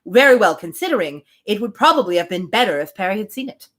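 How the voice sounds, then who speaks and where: A woman reading a classic novel aloud as host of a reading series, expressive and breaking into casual asides. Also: medium pitch, fast. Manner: expressive, animated storytelling. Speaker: reader hosting a read-aloud series.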